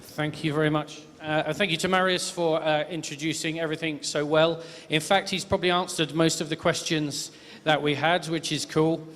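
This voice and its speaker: A man's voice: a little high, level tone, moderate pace, a bit bright. A man moderating a tech conference panel in a chatty, hedging way with lots of fillers. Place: conference stage. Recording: reverberant conference hall.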